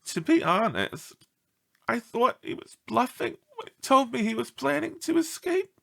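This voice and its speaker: A man's voice: big pitch swings, measured pace, gravelly, fairly bright tone, exaggerated voice. A man voicing an upset female dog owner in a storybook, earnest, fretful and fondly exasperated. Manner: raised pitch, earnest and fretful. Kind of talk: storybook read-aloud character.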